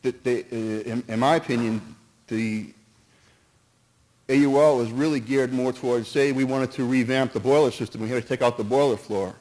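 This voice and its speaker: Halting man speaking at a meeting. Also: mid-range voice, fairly even intonation, darkish tone, poor audio. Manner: halting, restarts and jumps between points; earnest, cautious, hedges with "in my opinion". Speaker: resident speaking at a town meeting. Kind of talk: public comment at a town meeting. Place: town meeting hall.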